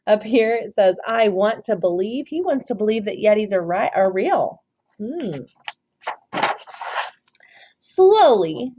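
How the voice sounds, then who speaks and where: A woman reading a children's picture book aloud as storyteller, expressive, with chatty asides that point things out and question her listeners. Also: medium pitch, husky.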